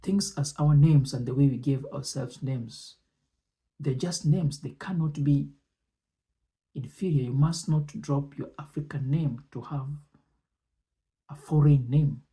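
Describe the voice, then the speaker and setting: A man presenting and commenting on speech excerpts in a deliberate, measured way, often pausing between short phrases. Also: mid-range voice, warm tone.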